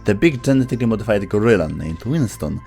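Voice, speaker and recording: A man's voice: on the low side, expressive, fast, vocal fry, a little mellow. A man reading scripted explainer narration as the narrator of a video. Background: background music.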